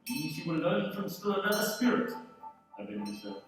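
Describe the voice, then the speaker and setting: A solemn ghostly spirit in a radio play. His delivery swings widely in pitch. He sounds distant and very echoey, with music behind him. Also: sing-song, fairly quick. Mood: solemn, commanding. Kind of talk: live radio play acting.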